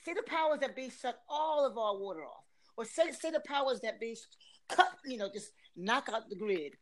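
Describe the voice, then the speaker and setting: A woman's voice: a little high, expressive, normal speed, poor audio. A middle-aged woman from Philadelphia with a Philadelphia accent, chatting casually, laughing often and restarting her sentences. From Philadelphia, USA. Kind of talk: casual chat.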